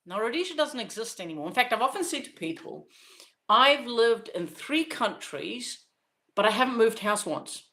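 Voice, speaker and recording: A woman's voice: a little high, very animated, normal speed, bright, forceful tone. A woman in her fifties from Zimbabwe, hosting a podcast in a casual, rambling monologue full of fillers and restarts. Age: 50s. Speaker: podcast host.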